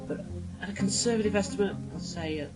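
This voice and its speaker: Man playing an earnest, high-voiced young companion. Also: fast talker, lightly acted, poor audio. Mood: puzzled. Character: Adric, earnest clever young male time-traveller companion. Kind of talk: sci-fi radio drama acting. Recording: music under the voice.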